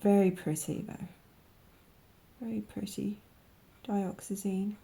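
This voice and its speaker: A woman with a croaky voice from a cold, slowly musing aloud in a soft-spoken way as she tries out paints, sometimes dropping to a whisper. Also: medium pitch, level tone. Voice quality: croaky, gravelly. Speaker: art vlogger. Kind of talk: casual voice-over while swatching paints.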